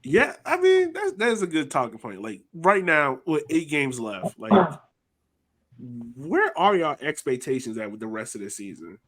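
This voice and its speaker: A man's voice: on the high side, huge pitch swings, normal speed, a bit bright. A man hosting a sports podcast in a loose, joking way, with swearing and lots of "like" and "um". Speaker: podcast host. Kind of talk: casual sports podcast chat.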